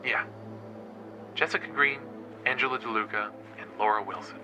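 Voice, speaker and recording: A man's voice: on the low side, normal speed, over music, lightly acted. A man playing an eager college student and true crime podcaster, telling stories in a dramatic, playful way in an audio drama. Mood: dramatic, teasing. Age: young adult. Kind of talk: audio drama acting, storytelling.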